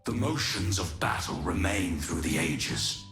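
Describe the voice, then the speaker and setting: An actor playing an ancient, weary and fierce godlike warrior in a video game, speaking solemnly and grandly. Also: male voice, low-pitched, lively intonation, gravelly, fairly bright tone, soft background music, full character voice. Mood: weary, resolute. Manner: solemn, grandiose, deliberate delivery. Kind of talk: dramatic video game voice lines.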